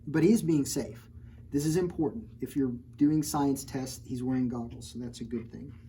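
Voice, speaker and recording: Mellow-voiced woman reading a kids' story. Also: rumbling low, moderate pace, slight background noise. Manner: playful, teasing, stops often to point out pictures. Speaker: children's librarian. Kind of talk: storytime read-aloud with chatty asides.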